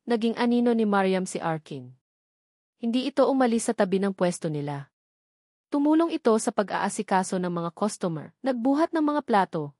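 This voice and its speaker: A woman narrator reads a scripted love story. Her voice sits at an average pitch for a woman. The sound is dry, with hardly any room in it. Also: darkish tone, no echo.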